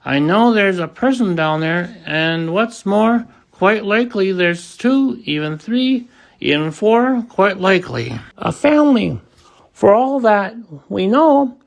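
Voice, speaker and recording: A gentle storybook elephant, as a man reads him, polite and protective. His delivery is slow and very animated, with an earnest, sincere manner. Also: sits high, vocal fry, voice pushed up, exaggerated voice. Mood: earnest, pleading.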